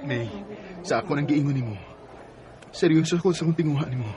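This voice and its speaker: Earnest Bisaya suitor, higher male voice, acted. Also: fast, mellow, natural voice. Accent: Cebuano Bisaya. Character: earnest, gentle male suitor courting a single mother. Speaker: radio drama actor. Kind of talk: Filipino radio drama acting.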